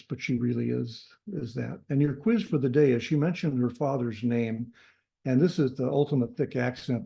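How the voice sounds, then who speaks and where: A man's voice: medium pitch, vocal fry, mellow. A man presenting to his carving club over a video call, talking loosely with many fillers and restarts.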